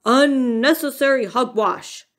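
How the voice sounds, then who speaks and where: A stern, gruff bear from a children's storybook, acted out by a woman. He declaims slowly and pompously, with grand swings in pitch and a formal, grumbling manner. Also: big pitch swings, full character voice. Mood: scolding. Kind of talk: children's storybook character reading.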